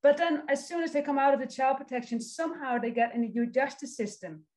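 A woman's voice: high voice, fast talker, darkish tone. A woman in her forties from the Netherlands with a Dutch accent, a social work lecturer giving an encouraging online presentation over a video call. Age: 40s. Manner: friendly, encouraging, reads out audience comments. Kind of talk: online presentation to a youth summit.

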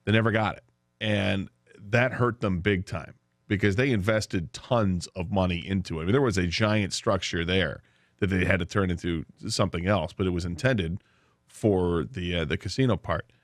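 Lowish American man, dry studio sound. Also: normal speed, dry recording. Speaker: sports radio co-host. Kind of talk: casual radio discussion. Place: radio studio. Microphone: studio broadcast microphone.

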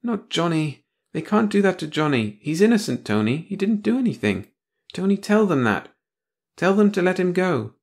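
A man voicing a frightened young wife who pleads softly for her husband. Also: sits high, big pitch swings, moderate pace, voice pushed up, exaggerated voice. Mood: pleading. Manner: trembling, pleading, soft.

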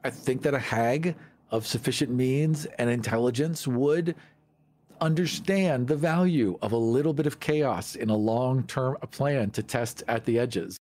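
A man's voice: mid-range voice, unhurried, darkish tone. A man co-hosting a podcast in casual discussion, making short, emphatic statements. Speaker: podcast co-host. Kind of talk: casual discussion of fantasy game monsters.

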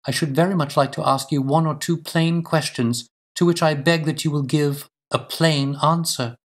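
A man's voice: fairly high pitch, fairly even intonation, moderate pace, voice pushed up, close to an everyday voice. A man voicing an earnest, composed but anxious Victorian wife in an audiobook, with formal, insistent, precise delivery. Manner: formal, emphatic, precise diction. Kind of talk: audiobook character dialogue.

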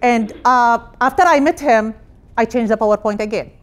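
Woman, middle-aged, from palestine, lively personal talk, fairly high voice, lapel mic in a conference room. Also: moderate pace. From Jerusalem, Palestine. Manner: energetic, gesturing while speaking, non-native phrasing. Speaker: hospital patient flow director giving a talk. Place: conference room with projected slides.

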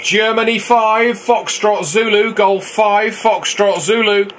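A high-pitched man operates an amateur radio in a small shack, with clear hiss and radio noise around him. He fires off clipped, procedural exchanges, spelling things out in phonetic letters and repeating them. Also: fairly even intonation, takes it slowly. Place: small indoor radio room.

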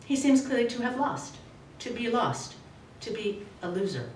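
A woman in her forties, a novelist, reading her prose in a measured, expressive way at a podium, then answering questions in a halting, self-correcting manner. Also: on the high side. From grew up in Australia, Canada and the States. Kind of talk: literary reading, then casual Q&A. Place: small event room with a red curtain, indoors. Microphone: podium microphone, recorded from a far camera. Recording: echoey room, voice from a distance, faint hiss.

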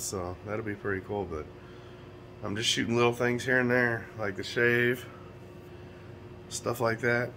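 An American man in his forties, hoarse and raspy from a cold with a stuffed nose, rambling loosely to a close-held phone camera in a small room and chuckling often. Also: fairly low pitch, pushed and bright, slight background noise. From United States. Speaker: vlogger. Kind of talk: casual vlog talk.

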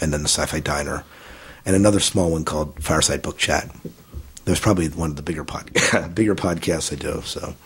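A man in his thirties with a low, husky voice talks through his story as a podcast guest. He rambles easily, circling back with fillers and restarts. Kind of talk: casual interview answers.

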